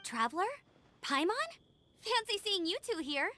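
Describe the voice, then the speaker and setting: A woman's voice: very high-pitched, very animated, moderate pace, bright, forceful tone, soft background music, exaggerated voice. An actress playing a cheerful, eager young woman scout in a video game.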